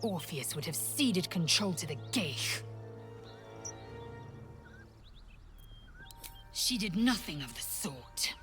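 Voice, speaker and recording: A woman's voice: lowish voice, big pitch swings, measured pace, fairly bright tone, soft background music. An actress playing a proud, fierce alien warrior woman in a video game, speaking formally with haughty, clipped menace. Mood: scornful. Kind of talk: dramatic video game acting.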